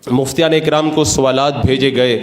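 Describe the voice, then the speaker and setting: A man's voice: mid-range voice, fairly even intonation, moderate pace. Male cleric lecturing in echoey room. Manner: didactic, methodical, formal Urdu-laden diction. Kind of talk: religious lecture, explanatory sermon. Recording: roomy, echoing sound.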